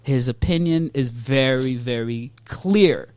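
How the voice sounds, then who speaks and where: A man's voice: mid-range voice, slow pace, darkish tone, clear, resonant tone, no echo. A man hosting a casual podcast chat, slangy and repeating phrases for emphasis. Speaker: podcast host.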